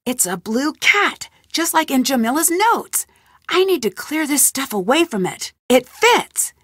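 Curious young girl detective, bright pitch, animated delivery, dry booth sound. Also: measured pace, dry recording, heavily acted. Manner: thinking aloud, inquisitive, lightly wry. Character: young female amateur detective, curious and determined. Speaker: video game protagonist. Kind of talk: studio game voice lines. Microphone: close studio mic.

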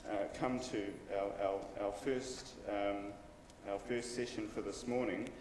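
A man's voice: on the low side, measured pace. Hesitant man announcing in a roomy hall. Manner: relaxed, joking, many ums and uhs. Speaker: event MC. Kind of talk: housekeeping announcements at a summit. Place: conference room, at a lectern. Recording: roomy hall reverb, distant mic, faint hiss.

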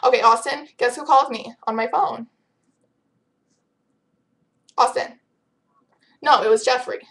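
A teenage girl talks casually into her webcam from a room at home, teasing and giggling as she reads viewer questions. Her voice sits a little high. The sound is the plain pickup of a built-in webcam mic. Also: fairly even intonation, normal speed, fairly bright tone. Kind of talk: casual chat. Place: home room with bright window.

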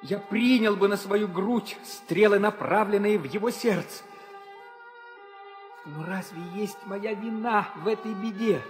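An actor playing a noble, devoted young warrior prince, declaiming fervently in an old theatrical audio drama. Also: male voice, high voice, measured pace. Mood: anguished, vowing. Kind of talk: 1960s Soviet theatrical audio drama acting. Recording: old reverberant studio recording with music.

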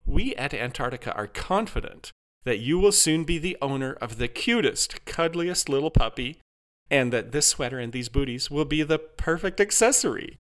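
A man's voice: medium pitch, lively intonation, moderate pace, voice pushed up, natural voice. A man voicing a gushing, chirpy AI customer-service hologram. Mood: chirpy, confident. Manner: gushing, syrupy salesman cheer.

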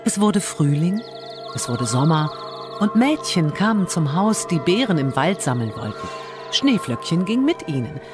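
Low-voiced woman telling a fairy tale. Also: sing-song, unhurried, over music. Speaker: storyteller. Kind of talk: scripted fairy-tale narration.

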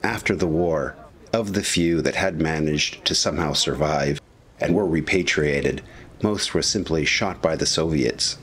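A man narrating a scripted historical documentary in a measured, serious manner. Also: extremely low pitch, a bit bright.

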